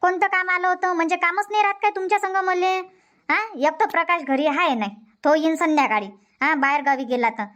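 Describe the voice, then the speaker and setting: A woman playing a high-pitched, nagging village housewife. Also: fairly quick, a bit bright, dull audio. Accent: Varhadi Marathi (Vidarbha). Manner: scolding, repetitive complaining. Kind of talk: Marathi comedy cartoon dubbing.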